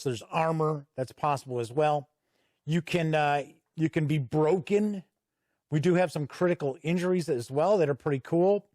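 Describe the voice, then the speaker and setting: A man's voice: on the high side, a little mellow, no echo, clean studio sound. A middle-aged man giving a casual, conversational review commentary that explains game rules.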